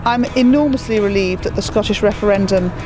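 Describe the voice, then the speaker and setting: A woman who is a parliamentary candidate, speaking forcefully and emphatically in a campaign video, recorded outdoors. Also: mid-range voice, brisk, rough recording. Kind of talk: campaign video, addressing camera. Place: outdoors, village and town. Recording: music bed behind.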